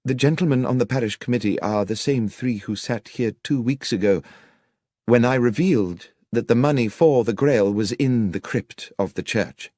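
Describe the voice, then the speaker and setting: A man's voice: mid-range voice, moderate pace, a little mellow, dry recording, studio quality, close to an everyday voice. A man voicing an anxious, courteous young country clergyman in an audiobook, speaking in articulate, polite, wordy sentences. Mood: troubled. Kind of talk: audiobook character dialogue.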